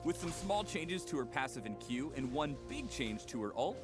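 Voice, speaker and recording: Guy narrating a game promo, bouncy pitch, polished and upbeat, music under it. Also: big pitch swings, moderate pace. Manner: upbeat, polished announcer delivery. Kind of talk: scripted game trailer narration.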